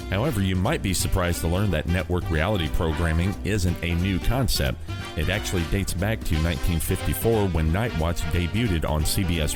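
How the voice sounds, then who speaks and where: A man hosting a classic radio program, reading a steady, scripted introduction. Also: low-pitched. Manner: steady, informative. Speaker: host. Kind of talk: scripted narration. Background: guitar music.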